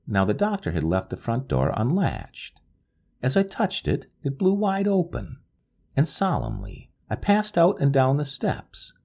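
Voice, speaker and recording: A man reading a literary ghost story aloud in a slow, solemn, wistful manner, with a gravelly, husky voice. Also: medium pitch, a little mellow. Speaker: narrator reading a short story. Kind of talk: scripted literary narration.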